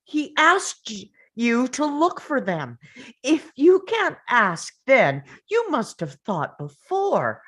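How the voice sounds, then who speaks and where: A woman playing an anguished, confrontational woman in a dramatic table read, pressing for answers in a halting, interrupting way. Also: high voice, wildly swooping pitch, fairly bright tone, slightly rough, exaggerated voice. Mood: probing, incredulous.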